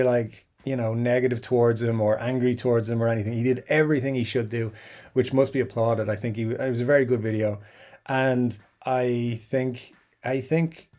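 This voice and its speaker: A middle-aged man hosts a casual live stream, reading comments aloud and musing at length. His voice sits at an ordinary pitch, and his talk wanders with fillers, restarts and asides. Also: darkish tone. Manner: rambling, chatty, frequent fillers and restarts. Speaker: YouTube guitar channel host.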